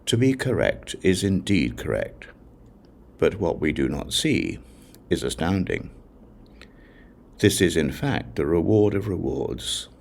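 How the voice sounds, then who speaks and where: A man's voice: low voice, very animated, slow, gravelly, long pauses, darkish tone. A man giving a solemn, declarative scripted reading of sacred texts as an audiobook narrator.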